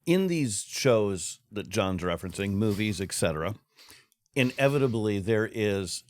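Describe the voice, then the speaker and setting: A man co-hosting a podcast, asking his guest curious, unhurried questions. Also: lowish voice, expressive, no room sound, clean studio sound. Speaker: podcast co-host. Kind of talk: interview questions.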